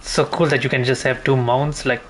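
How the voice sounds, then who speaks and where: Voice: medium pitch, flat delivery, moderate pace, slight background noise. A gamer giving casual, informal play-by-play commentary, thinking aloud and swearing mildly now and then. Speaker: gameplay streamer.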